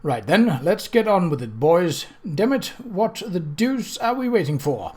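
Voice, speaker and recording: A storyteller plays a blunt, judicious coroner in an audiobook novel. He questions in a formal, measured way, with courteous authority and a middling pitch. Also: very animated, darkish tone, breathy edge. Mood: brisk, impatient. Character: gruff, blunt coroner, judicious older man.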